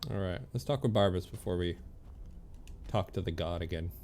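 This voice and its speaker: Low-pitched man casually streaming a game. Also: fairly quick, darkish tone. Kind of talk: casual livestream game commentary, reading on-screen text aloud.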